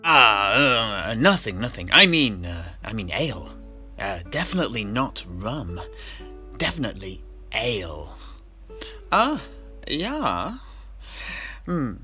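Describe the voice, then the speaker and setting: A man's voice: fairly low pitch, very animated, bright, forceful tone, full character voice. Man playing a theatrical, swooping jovial tavern keeper. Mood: flustered. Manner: hammy, hesitant, with drawn-out musing. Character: jovial, tippling fantasy tavern keeper and storyteller. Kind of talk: in-character theatrical fantasy storytelling. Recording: music behind the voice.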